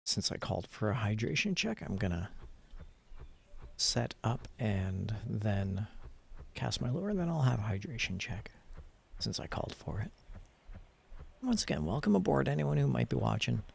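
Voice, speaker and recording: Low, creaky-voiced man streaming casually. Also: sing-song, normal speed, long pauses. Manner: rambling, self-narrating, repeats himself. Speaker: livestream host. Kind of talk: casual gaming livestream chat and story readalong. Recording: clear background noise.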